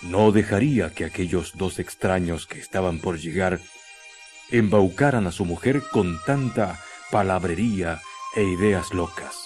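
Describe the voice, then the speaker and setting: A man's voice: low-pitched, a little slow, a little mellow, soft background music. A man narrating a radio drama in a scripted, dramatic storytelling style.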